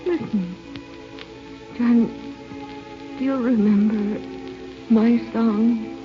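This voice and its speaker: An actress playing a tender, wistful dying young bride, halting and faint, in old-time radio style. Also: female voice, a little high, fairly even intonation. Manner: slow, halting, faint, melodramatic. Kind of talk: 1940s radio acting. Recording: muffled old radio recording with music.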